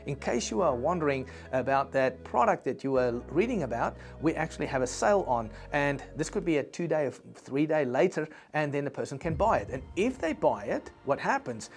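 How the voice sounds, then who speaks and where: A man whose first language is Afrikaans, giving a conversational instructional talk with asides to the viewer. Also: a little high. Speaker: business presenter.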